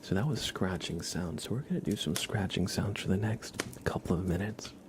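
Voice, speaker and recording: An American man hosting an ASMR livestream, speaking in a soft, breathy whisper with an unhurried, gently reassuring manner. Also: low-pitched, noisy background. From East Coast of the United States, near New York. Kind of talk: whispered ASMR, casual livestream chat and card reading.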